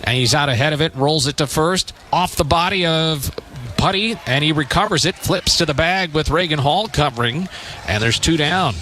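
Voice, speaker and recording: A man's voice: a little high, normal speed, pushed and bright. A man doing live radio play-by-play of a baseball game in short, punchy, descriptive phrases. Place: open-air stadium press perch.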